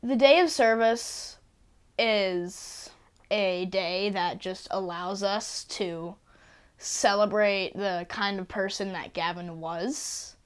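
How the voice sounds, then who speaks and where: A woman speaking in an interview, slow and thoughtful. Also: mid-range voice, clear, resonant tone. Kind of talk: reflective interview.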